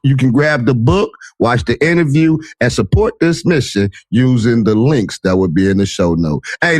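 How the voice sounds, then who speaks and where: A man hosting an interview podcast in an energetic, motivational manner with casual slang. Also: a little high, dry recording, studio quality. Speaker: podcast host.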